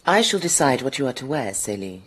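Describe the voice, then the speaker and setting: Super deep cold bossy schemer lady, husky, curt orders. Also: sing-song, fairly quick, heavily acted. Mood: commanding. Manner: curt and commanding. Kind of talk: radio drama acting.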